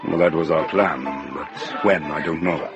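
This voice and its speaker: An actor playing a genial, self-assured medieval prince, lordly and unhurried, in a radio drama. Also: male voice, a bass voice, steady tone, highs cut off. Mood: wry. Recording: loud music and crowd behind. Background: music and crowd sound.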